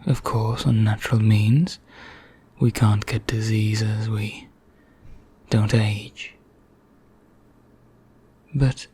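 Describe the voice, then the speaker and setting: Guy doing an asmr vampire, bored and courteous, dark soft voice, super slow and whispery. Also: on the low side. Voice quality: hushed, breathy, often whispering. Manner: slow, deliberate, genteel and conspiratorial. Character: bored, secretive immortal male vampire, darkly courteous. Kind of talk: ASMR roleplay.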